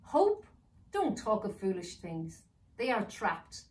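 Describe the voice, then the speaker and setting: A woman playing a bullying dark villain in a storybook, contemptuous and commanding toward a servant. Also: mid-range voice, big pitch swings, slow. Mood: scornful, angry. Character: scornful, bullying dark villain, master of an elf. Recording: roomy, distant mic with faint noise.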